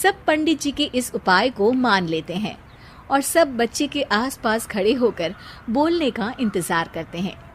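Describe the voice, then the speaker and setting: High-voiced woman narrating a story. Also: very animated, a little slow, a little hiss or hum. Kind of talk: scripted cartoon story narration.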